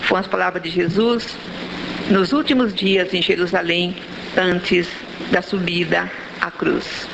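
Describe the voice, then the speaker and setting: A woman's voice: lowish voice, steady tone, a little slow, creaky. A Brazilian woman giving a religious talk in Brazilian Portuguese, explaining in a didactic way and checking in with rhetorical questions. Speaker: spiritist lecturer. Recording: dull lo-fi audio, faint background noise.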